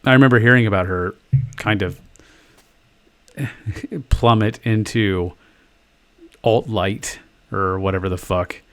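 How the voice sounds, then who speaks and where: A man's voice: lowish voice, slow, long pauses, fairly bright tone. A man co-hosting a podcast in casual chat, joking and swearing freely, often trailing off into fillers. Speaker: podcast co-host.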